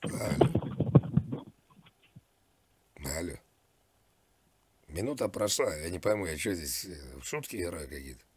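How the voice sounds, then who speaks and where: A man making a deadpan prank call as a demanding customer, nagging and repeating himself in a pushy way. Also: low voice, a little slow, long pauses, a little hiss or hum. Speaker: prank caller.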